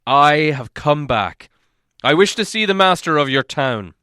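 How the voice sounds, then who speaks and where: Man playing a grand, booming dwarf king. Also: lively intonation, a little slow, smooth, no room sound, studio quality. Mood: proud, solemn. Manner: solemn, grandly declaiming, loud. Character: proud, commanding dwarf king in exile. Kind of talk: audiobook character acting.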